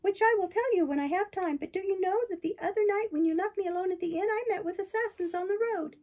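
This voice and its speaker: A woman voicing a naughty, excitable wooden puppet boy in a storybook reading, with a high, eager delivery. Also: fast. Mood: excited.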